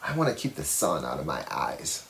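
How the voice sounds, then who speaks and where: A man plays a young storybook boy, earnest and a little evasive as he talks to his mother. The pitch moves around in a lively way. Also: normal speed, full character voice.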